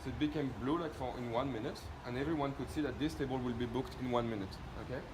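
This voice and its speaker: A man's voice: medium pitch, fast. A man with a French accent giving an informal product demo, explaining step by step and often checking that listeners follow. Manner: explanatory, often ends points with checking tag questions. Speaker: company presenter demonstrating a product. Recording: echoey room, loud background noise.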